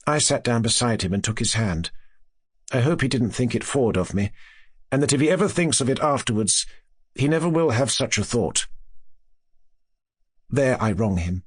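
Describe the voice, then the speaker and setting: A man's voice: mid-range voice, lively intonation, normal speed, pauses often, husky, no room sound. Man narrating a classic novel, dry studio sound. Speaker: audiobook narrator. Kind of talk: scripted narration of classic gothic fiction.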